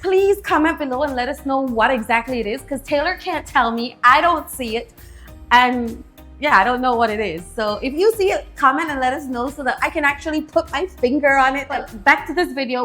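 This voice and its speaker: A young woman with a light Spanish accent chats through a pole dance lesson in a friendly, easygoing way. Her voice sits a little high. Soft music plays faintly underneath. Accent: Spanish-influenced English. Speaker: pole dance instructor, co-host. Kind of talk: casual tutorial chat.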